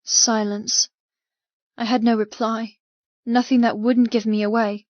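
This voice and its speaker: A woman narrating first-person fiction as an audiobook, in a measured, dramatic manner. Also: on the high side, flat delivery, darkish tone, no room sound, lo-fi sound.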